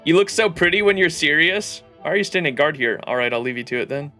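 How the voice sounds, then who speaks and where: A man's voice: on the high side, lively intonation, fast, sharp tone, soft background music. A man livestreaming a game, giving informal, playful commentary and reading dialogue aloud.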